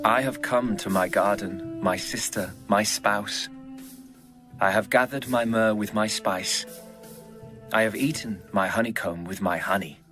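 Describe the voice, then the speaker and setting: A man giving a solemn, measured, scripted reading of poetic scripture. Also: on the low side, bright, forceful tone, faint music behind.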